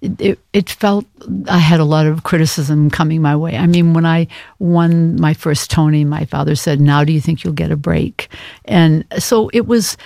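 Gravelly older woman, reflective and hesitant. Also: low-pitched, fairly even intonation, dark tone, no room sound, studio quality. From Maine, US. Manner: hesitant, thoughtful, many pauses and fillers. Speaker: guest, actress. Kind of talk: reflective interview talk.

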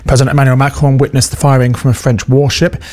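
A man reading a news bulletin in a measured, formal way. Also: medium pitch, little pitch movement, a little mellow. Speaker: news presenter.

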